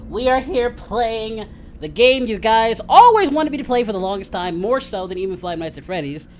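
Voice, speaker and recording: Woman streamer, high-pitched, unhurried, playful and foul-mouthed, game music behind. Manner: playful, profane banter. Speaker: streamer host. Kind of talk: casual game livestream chat.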